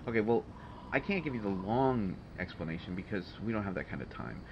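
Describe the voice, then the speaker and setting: A man's voice: on the low side, animated, fairly quick. A man playing a testy, sardonic supervillain genius in an audio roleplay, speaking in a dry, haughty, hesitant way with exasperation. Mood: puzzled, exasperated. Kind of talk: intimate audio roleplay monologue to a listener. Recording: dull, noisy home recording.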